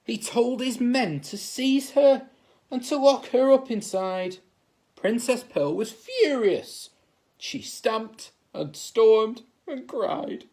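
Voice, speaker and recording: Slow, animated male storybook reader. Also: extremely high pitch. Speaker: assistant head teacher reading a bedtime story. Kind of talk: expressive children's storybook narration.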